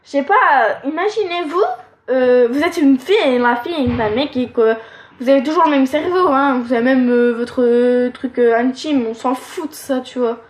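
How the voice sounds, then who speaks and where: A woman's voice: sits high, moderate pace, roomy sound, slight background noise. A teenage girl giving frank, rambling relationship advice, with a nasal, stuffed-up voice and lots of fillers. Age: teens. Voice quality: nasal from allergies. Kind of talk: casual advice monologue.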